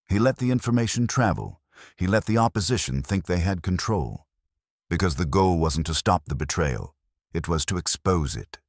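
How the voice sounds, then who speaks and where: A man delivers scripted true-crime narration in an extremely low, husky voice. He phrases it in short, dramatic storyteller beats with a lively melody. The recording is dry and close. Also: dry recording.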